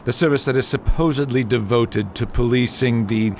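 A man's voice: medium pitch, normal speed, a little mellow, slight background noise, lightly acted. An actor playing a pompous, power-hungry male senator in a radio drama, grandiose and blustering, as if always making a speech. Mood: startled, indignant. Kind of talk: old-fashioned radio drama acting.

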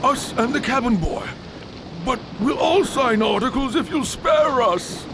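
An actor playing a terrified captive sailor pleading for mercy in a radio drama. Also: male voice, very high voice, expressive, a little slow, noisy background, exaggerated voice. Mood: frightened pleading.

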